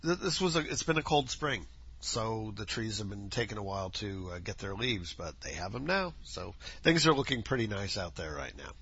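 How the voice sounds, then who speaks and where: A man with a husky, scratchy voice, chatting alone into a home mic, lively in pitch with a bright, forceful tone. He rambles, corrects himself and drifts between topics, recorded dull and lo-fi. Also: moderate pace. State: scratchy voice from dust. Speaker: podcast host. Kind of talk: casual solo podcast chat. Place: home, outdoor noises faintly heard.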